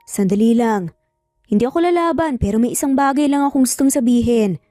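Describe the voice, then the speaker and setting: Female narrator as apologetic Filipino male stranger, restrained and mellow, dry audio-story sound. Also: fairly high pitch, a little fast, no echo, soft background music, lightly acted. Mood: calm, reassuring. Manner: gentle, restrained, hesitant. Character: calm, apologetic adult male stranger with a secret.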